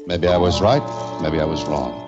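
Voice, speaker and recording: An American man playing a calm, inquisitive adventurer, narrating a mystery in measured 1940s radio style. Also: a bass voice. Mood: tense narrating. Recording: muffled old-time radio recording, music behind.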